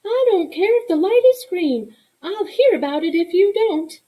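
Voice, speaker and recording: A woman's voice: very high-pitched, animated, moderate pace, warm tone, voice pushed up, rough recording. A woman voicing a firm, fussing small-town mother with an emphatic, scolding manner in a storybook reading. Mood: stern warning.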